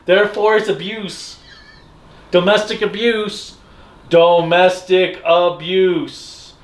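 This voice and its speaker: A man's voice: high voice, steady tone, slow pace. A man co-hosting a fan discussion show with casual recaps and banter. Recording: echoey room.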